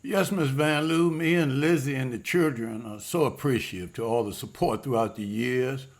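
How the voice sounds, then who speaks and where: A man's voice: medium pitch, moderate pace, lightly acted. A man reading the part of William, a hopeful, respectful husband who has just landed a waiter's job, in a table read. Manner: earnest, respectful, says ma'am.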